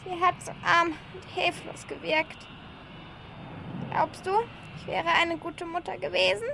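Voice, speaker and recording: A woman's voice: extremely high pitch, little pitch movement, a little slow, creaky, noisy background, heavily acted. A woman playing a lonely, caring old grandma, fussing and sighing in an amateur radio play. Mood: sad, longing. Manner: fussing, chatty, sighing, uses southern German dialect words.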